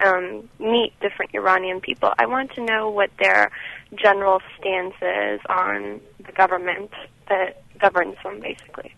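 A woman's voice: medium pitch, creaky, fairly bright tone. A woman calling a radio show by telephone to ask a question in conversational style. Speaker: phone-in caller. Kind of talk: asking a question on air. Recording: dull telephone line on radio.

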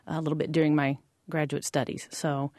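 A woman's voice: low voice, flat delivery, a little fast, a little mellow, no echo, clean studio sound. An American woman from Georgia, a college teacher, answering interview questions informally and thinking aloud as she goes. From Georgia, United States.

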